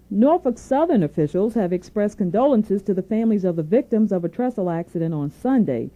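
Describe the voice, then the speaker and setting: A woman anchoring a scripted radio newscast, reading in a formal, measured way. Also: medium pitch, warm tone. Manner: formal, measured newsreading delivery. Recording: narrow tinny AM radio aircheck.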